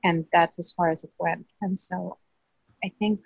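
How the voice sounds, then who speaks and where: A woman whose first language is Russian shares in a support group, speaking softly and hesitantly as she searches for words. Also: fairly low pitch, fairly even intonation, measured pace, vocal fry, husky, dry recording, low-quality recording. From Southern California. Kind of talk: personal sharing in a support group.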